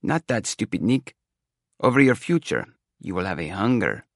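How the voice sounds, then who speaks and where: A man's voice: fairly low pitch, sing-song, no echo, studio quality. A man voicing a theatrical middle-aged woman fortune-teller with a thick Eastern European accent, portentous and insistent, in an audiobook. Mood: ominous. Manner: portentous, dramatic pauses. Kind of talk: audiobook character acting.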